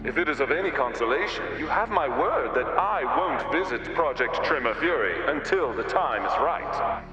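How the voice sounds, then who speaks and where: Guy doing smug sly alien schemer for a game, higher voice, taunting drawn-out phrasing, music under it. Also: lively intonation, dull audio, close to an everyday voice. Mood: menacing, gloating. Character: sly, smug male alien blackmailer, theatrically refined. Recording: roomy echo with music underneath.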